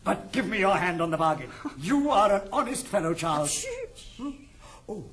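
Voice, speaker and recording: An old English gentleman of classic stage comedy, shrewd yet sentimental. His pitch is high for a man and lively. He speaks theatrically, with exclamations. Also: moderate pace, full character voice. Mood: hearty. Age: older. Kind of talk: classic stage comedy acting with muttered asides.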